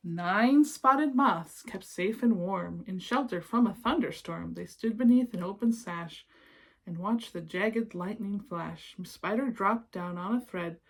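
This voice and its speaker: Female children's read-aloud presenter, slightly husky, gentle and encouraging, talks straight to the young listener. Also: mid-range voice, measured pace. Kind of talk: expressive storybook reading with asides to young listeners.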